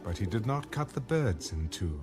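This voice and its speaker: Male scripture narrator, lowish pitch, measured and solemn, scripted storytelling over clear background music. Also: sing-song. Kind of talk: scripted dramatized Bible narration.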